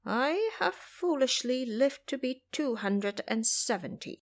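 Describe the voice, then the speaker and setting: A woman's voice: sits high, animated, takes it slowly, voice pushed up, full character voice. A woman voicing an ancient, boastful old male monk who speaks with courteous, self-deprecating humility in an audio drama. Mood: humbly proud.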